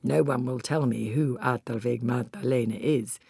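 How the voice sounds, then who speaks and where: A woman's voice: very deep voice, moderate pace, gravelly, mellow, husky, no room sound, clean studio sound. A woman narrating a literary first-person story as a scripted audiobook reading.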